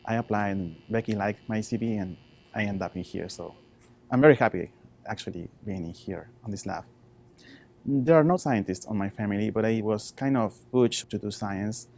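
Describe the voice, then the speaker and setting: A man in his thirties with a Chilean Spanish accent, a researcher talking informally about his work in halting non-native English. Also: on the low side, a little mellow. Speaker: postdoctoral researcher.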